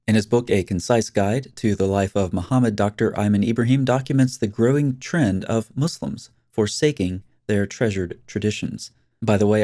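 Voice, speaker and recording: A man's voice: fairly low pitch, dark tone, no room sound, studio quality. A man hosting a scripted commentary video, speaking in a sarcastic, mocking way.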